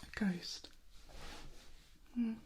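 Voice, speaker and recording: A woman playing a gentle, wary female vampire in an ASMR roleplay, mostly whispering in a breathy, hushed voice with pauses between short phrases. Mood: quietly musing. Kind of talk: soft-spoken ASMR roleplay.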